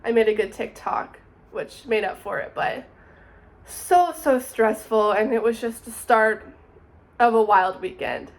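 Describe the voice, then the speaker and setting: A woman's voice: on the high side, a little slow, low-quality recording. A woman of twenty, narrating her summer in a chatty, upbeat vlog voice-over. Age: 20s. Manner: chatty, upbeat, says "super" often. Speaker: vlogger.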